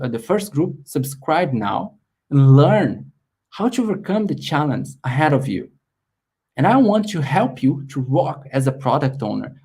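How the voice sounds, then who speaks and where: A man talks through his online course in a webinar, sincere and a little halting. His voice is husky and mellow. His pitch rises and falls in lively swings. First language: Portuguese. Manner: earnest, plain non-native phrasing, some restarts. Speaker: course creator hosting a webinar. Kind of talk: live webinar sales pitch.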